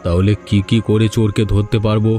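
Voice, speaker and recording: A man voicing a well-off village landlord in a cartoon, speaking in a measured, authoritative way. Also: lowish voice, level tone, over music, natural voice. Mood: worried. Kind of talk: Bengali cartoon dubbing.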